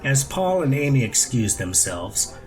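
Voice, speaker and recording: A man's voice: medium pitch, big pitch swings, measured pace, darkish tone, low-quality recording. Man reading a story aloud. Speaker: audiobook reader. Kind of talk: scripted story narration with character voices.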